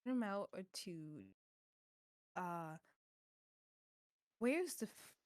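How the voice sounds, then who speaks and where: A woman's voice: mid-range voice, lively intonation, very slow, darkish tone, dry recording, clean studio sound. A woman chatting casually as a player in a tabletop game, hesitant and apologetic.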